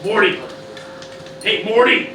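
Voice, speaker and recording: A man's voice: high voice, slow, pushed and bright, recorded from a distance, exaggerated voice. A man playing a cynical, drunken old grandfather with stumbling, offhand delivery in a live comic radio play. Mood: offhand, chiding. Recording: echoey noisy live room.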